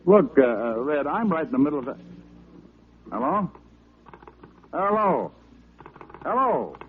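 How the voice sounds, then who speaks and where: A man's voice: on the high side, very animated, a little slow, slight background noise. A man acting a wisecracking, unflappable American private detective in 1940s radio style, with a wry, breezy delivery. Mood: hurried. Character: wisecracking, unflappable male private detective who narrates his case. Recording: tinny vintage radio recording.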